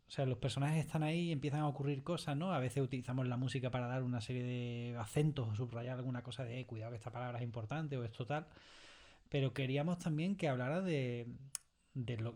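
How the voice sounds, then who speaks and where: Halting, informal man from Spain, mid-pitched. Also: a little mellow. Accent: Spanish from Spain. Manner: informal, halting, often rephrasing. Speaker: series writer being interviewed. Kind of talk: conversational interview. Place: recording studio.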